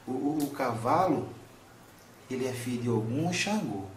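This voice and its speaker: A wise, soothing old grandmother spirit, as a male medium speaks for her, counselling in a tender voice of middle pitch. She keeps calling her listener son. The sound bounces around an echoey room with a faint hiss underneath. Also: lively intonation, normal speed, close to an everyday voice. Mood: gentle.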